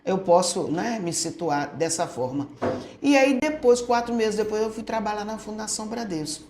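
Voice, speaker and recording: An older woman, a retired school director, telling stories from her early years in a relaxed way and often tagging her sentences with "né?". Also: mid-range voice, moderate pace, a little hiss or hum. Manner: storytelling, tags statements with "né?". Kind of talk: reminiscing interview answers. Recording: echoey room.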